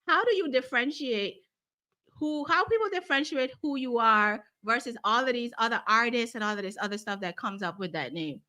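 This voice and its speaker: A woman's voice: on the high side, brisk, pushed and bright. A woman from Guyana hosting a casual interview, asking bubbly, curious questions and laughing often. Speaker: interview host.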